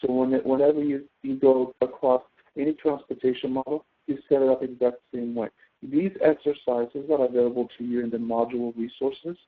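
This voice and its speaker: A man's voice: mid-range voice, steady tone, brisk. A man teaches through a thin, telephone-like connection. He explains a spreadsheet procedure step by step, as a tutor would, and often checks that the learner is keeping up. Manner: explanatory, often checks understanding with tag questions. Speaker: instructor, tutor. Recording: narrow tinny phone-like line.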